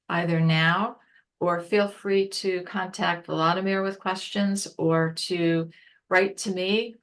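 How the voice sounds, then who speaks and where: A woman presenting an informal webinar, explaining things haltingly and rephrasing as she goes. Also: fairly low pitch. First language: English.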